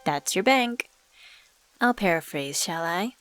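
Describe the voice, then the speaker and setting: A woman voicing an enigmatic supernatural dealmaker in an ASMR audio drama, coaxing and teasing in a deliberate, smooth-talking way. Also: lowish voice, lively intonation, loud music behind the voice. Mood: playful, satisfied. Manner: coaxing, teasing, deliberate, calls listener sweetheart. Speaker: voice actor in a scripted audio drama.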